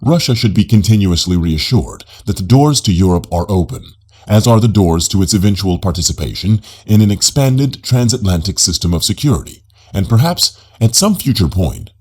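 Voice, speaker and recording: A man reading a nonfiction audiobook in a formal, measured manner. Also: fairly low pitch, expressive, darkish tone, breathy edge. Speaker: audiobook narrator.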